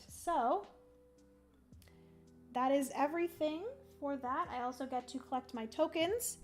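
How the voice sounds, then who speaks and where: A young woman on a livestream, talking through her moves in a solo board game and chatting with viewers, her voice going a little hoarse. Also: sits high, dark tone. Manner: chatty, thinks aloud, greets chat viewers. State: losing her voice. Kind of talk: casual livestream play-by-play.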